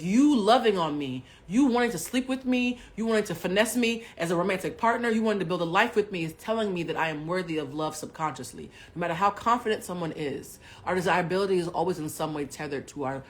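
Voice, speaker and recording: Low-voiced woman in her forties, blunt and animated. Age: 40s. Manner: blunt, profane, animated storytelling that jumps between hushed asides and loud bursts. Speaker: livestream host. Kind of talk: candid storytelling to a live audience.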